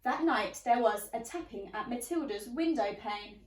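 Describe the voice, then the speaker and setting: A woman reading a children's picture book aloud expressively, pausing to ask her young listeners questions. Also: on the high side, animated, distant mic, a little hiss or hum. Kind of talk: animated children's story reading. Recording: roomy, echoey, away from the mic.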